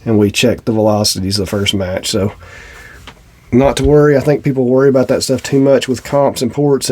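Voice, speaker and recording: A man with a Southern US accent talks in a low, relaxed voice that sounds a little stuffed up. He is middle-aged and plainspoken, giving a casual, folksy review to his viewers. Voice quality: slightly congested, stuffy-nosed. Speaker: shooting channel host.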